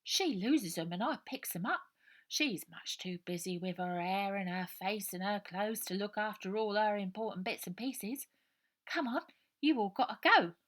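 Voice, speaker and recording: A woman's voice: medium pitch, normal speed, a bit bright, voice pushed up, lightly acted. A woman voicing a scrawny, bossy young orphan girl in a storybook, streetwise and urgent. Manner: urgent, streetwise, nonstandard grammar.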